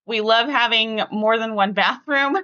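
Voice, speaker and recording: A woman's voice: fairly high pitch, unhurried, bright, forceful tone, clear, resonant tone, dull audio. A middle-aged woman hosting a podcast, chatting casually and emphatically with family. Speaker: podcast host.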